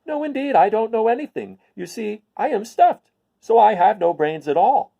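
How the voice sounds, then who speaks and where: A man voicing a friendly, naive straw scarecrow in a children's storybook, with a husky, drawn-out, emphatic delivery. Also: high-pitched, very animated, lo-fi sound, voice pushed up, heavily acted. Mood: wistful.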